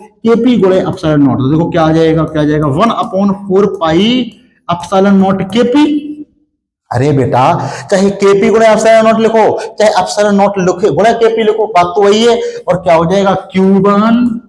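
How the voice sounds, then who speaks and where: Man, physics teacher, high-pitched, sore throat, coughing, asks and answers his own questions, echoey studio. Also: a little mellow. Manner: explains step by step, asks students questions and answers them himself. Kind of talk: classroom physics lecture. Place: studio with a board, hot, no air conditioning.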